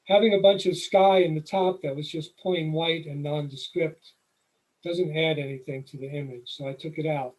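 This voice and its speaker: Middle-aged New York man, slightly high voice, calm explanatory presenter for a photo talk. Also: moderate pace, poor audio. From New York City. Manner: relaxed, explanatory, with occasional fillers. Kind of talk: slide presentation lecture.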